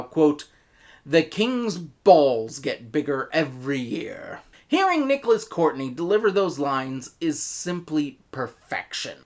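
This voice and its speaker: Low-voiced, animated American woman reviewer. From United States. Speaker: audio drama reviewer. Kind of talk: scripted review commentary.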